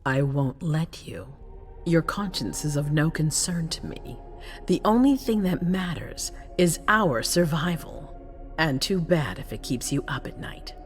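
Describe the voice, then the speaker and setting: Low-voiced, ruthless female commander. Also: expressive, measured pace, faint music behind. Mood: cold, stern. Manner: imperious, unsentimental, authoritative. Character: cold, ruthless, commanding female leader of a vampire faction. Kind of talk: dramatic video game acting.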